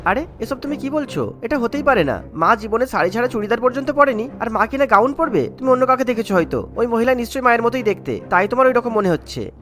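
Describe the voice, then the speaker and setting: A man's voice: extremely high pitch, expressive, fast, fairly bright tone, faint music behind. A man voicing a reassuring young husband in a cartoon, talking in a coaxing, reasonable way. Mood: disbelieving.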